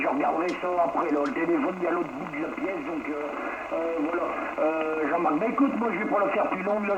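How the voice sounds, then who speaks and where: A man from northern France heard over two-way radio, chatting casually as an operator and pausing to search for words. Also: high voice, muffled recording. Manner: hesitant, halting, pauses to search for words. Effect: two-way radio transmission. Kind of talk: casual radio contact chat. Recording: noisy CB radio transmission with static.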